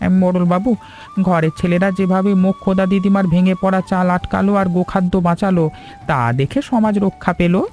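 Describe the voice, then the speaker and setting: Man voicing a high-pitched, effusive village uncle. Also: fairly even intonation, moderate pace, darkish tone, faint music behind, close to an everyday voice. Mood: happy, admiring. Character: excitable middle-aged village uncle, loyal grateful friend. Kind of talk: animated cartoon story acting.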